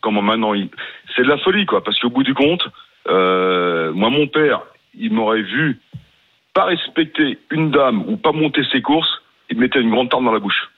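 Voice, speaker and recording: A man's voice: medium pitch, lively intonation, normal speed, phone-quality sound. A man in his sixties with a Parisian accent, calling in to a radio show and complaining emphatically, talking over the host. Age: 60s. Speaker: caller. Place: home near Paris.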